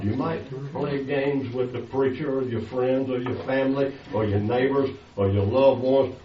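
A man's voice: medium pitch, slight background noise. An older man preaching a sermon, pressing his listeners with rhetorical questions. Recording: echoey, distant, dull lo-fi sound.

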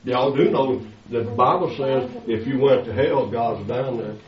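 A man's voice: medium pitch, moderate pace, crowd noise. An older man preaching a sermon, pressing his listeners with rhetorical questions. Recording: echoey, distant, dull lo-fi sound.

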